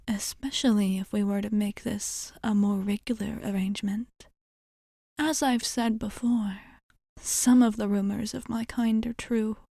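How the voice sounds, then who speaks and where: A woman playing a courtly, teasing vampire bartender in an ASMR roleplay, speaking in a soft, breathy half-whisper close to the microphone. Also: on the high side, no room sound. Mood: caring, unhurried. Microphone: close microphone, dry.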